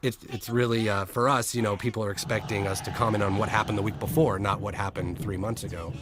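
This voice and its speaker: Fast-talking low-voiced man, still sick. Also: steady tone, noisy background. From Colorado. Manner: rambling, chuckling storyteller. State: sick with a lingering flu. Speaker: show co-creator giving commentary. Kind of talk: casual commentary chat.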